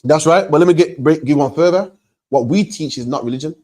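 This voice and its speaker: A man preaching in an emphatic, repetitive way, hammering each point home. His voice sits a little higher than most men's. He ends his phrases with "man". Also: a little fast, mellow. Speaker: religious teacher. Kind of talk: street preaching to a questioner.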